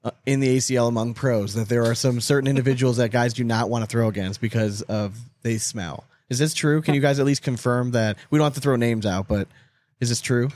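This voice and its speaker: A man's voice: medium pitch, fairly even intonation, brisk, darkish tone. American guy in his 30s hosting a podcast, crude jokey banter, says things twice. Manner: crude, joking, repeats himself for emphasis. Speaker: podcast host. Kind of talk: casual banter interview.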